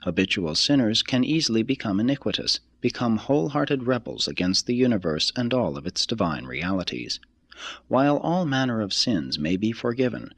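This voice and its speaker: Low, husky man reading solemnly. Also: fairly bright tone, no room sound. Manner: measured, formal, deliberate delivery. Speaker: audiobook reader. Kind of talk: scripted narration, solemn reading of a religious text.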